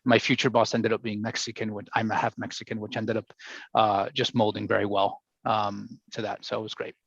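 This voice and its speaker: Gravelly low-voiced man on a call. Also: flat delivery, fairly quick, fairly bright tone, no echo, highs cut off. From Brazil. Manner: earnest, many restarts and fillers. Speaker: finance professional panelist. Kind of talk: panel discussion on a video call.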